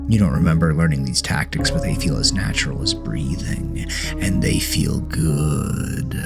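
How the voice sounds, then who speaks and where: A man with a low, deep voice narrates a scripted horror tale in the second person. His delivery is measured and dramatic, like a storyteller. Music plays clearly behind him. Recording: voice over prominent background music.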